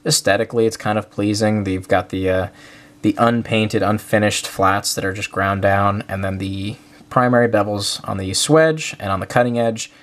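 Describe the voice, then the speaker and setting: A man's voice: on the low side, moderate pace. A young man with a nasal, stuffy voice from a head cold, casually talking through a knife review with lots of fillers. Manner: relaxed, rambling, frequent uh and um fillers. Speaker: host of a knife review channel.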